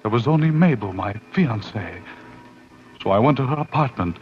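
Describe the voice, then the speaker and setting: An actor playing an excitable, uneasy man telling his own horror tale, dramatic and intense, in 1940s radio style. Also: medium pitch, over loud music, natural voice. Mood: grim, shaken. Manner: dramatic, intense, with suspenseful pauses. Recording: dull, slightly noisy 1940s radio recording.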